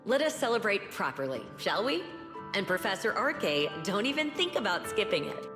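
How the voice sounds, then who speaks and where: Composed, encouraging female game character voiced by a woman, medium pitch, measured, very reverberant with strong music. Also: very animated, close to an everyday voice. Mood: gently teasing. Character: composed, encouraging woman, peacemaker among colleagues. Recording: echoing hall, loud music behind.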